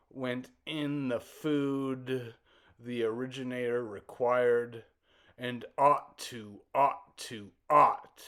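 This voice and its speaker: A man performs a spoken-word poem at a slow pace. He breaks the lines into short, deliberate fragments, leaving halting gaps and repeating words. His pitch sits in the middle range for a man. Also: fairly bright tone. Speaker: poet reciting own work. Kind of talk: dramatic spoken-word poetry recital.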